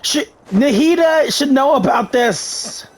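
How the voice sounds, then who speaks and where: A man records casual game commentary. His voice is high and his pace slow, with informal, excitable reactions. Game music plays softly behind him. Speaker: gamer streaming a playthrough.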